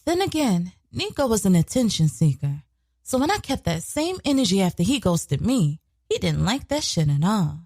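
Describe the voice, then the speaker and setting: A woman playing a sassy, animated young killer. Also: mid-range voice, moderate pace, no room sound, studio quality. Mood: boastful, dismissive. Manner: sassy, profane, colloquial. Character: sly, brash young woman killer recounting her past. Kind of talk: scripted first-person narration, audio drama.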